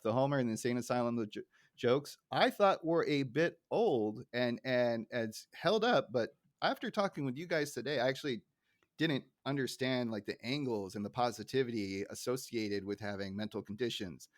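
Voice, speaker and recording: A man's voice: medium pitch, moderate pace, dry recording, studio quality. A man hosting a podcast in a casual, conversational style. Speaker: podcast host.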